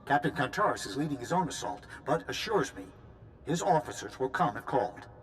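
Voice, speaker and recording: A man's voice: mid-range voice, lively intonation, a little fast, slight background noise, natural voice. A man playing a resolute general in a video game, giving an authoritative, businesslike military briefing. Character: resolute male general briefing troops for war.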